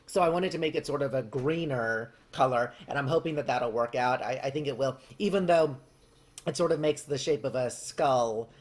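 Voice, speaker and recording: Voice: medium pitch, normal speed. A person giving a casual, unscripted how-to narration as a home baker, in a chatty, rambling, hedging way. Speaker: home baker hosting a craft project video. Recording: clearly noisy home recording.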